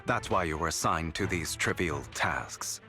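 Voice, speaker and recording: A man voicing a sly, composed young schemer in a video game, with a taunting, deliberate delivery. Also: deep voice, sharp tone, soft background music. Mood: taunting, persuasive.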